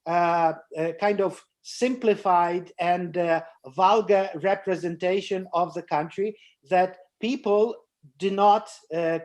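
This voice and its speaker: Guy moderating an online film talk, kinda high voice, lots of uh and um, restarts a lot, video call mic. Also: darkish tone. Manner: hesitant, many fillers and restarts. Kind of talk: online panel discussion. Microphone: computer mic on a video call.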